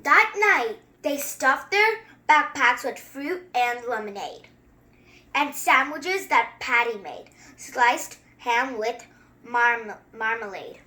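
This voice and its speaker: A female story-time host reading aloud and adding casual, chatty commentary in a halting way. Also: fairly high pitch, animated, sharp tone. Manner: halting word-by-word reading, informal chatty asides. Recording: roomy echo.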